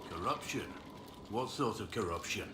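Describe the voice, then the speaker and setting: An actor playing a proud, defensive warrior in a fantasy video game, talking in terse, blunt phrases. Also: male voice, lowish voice, lively intonation, moderate pace, close to an everyday voice. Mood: curious, wary. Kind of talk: video game dialogue acting. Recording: roomy echo, clear background noise.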